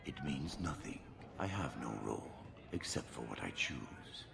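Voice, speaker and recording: An actor playing a stoic giant horned mercenary in a video game, gravelly, terse, measured and deadpan. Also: male voice, exaggerated voice. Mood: stoic, detached. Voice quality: very deep, gravelly. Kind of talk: video game character acting.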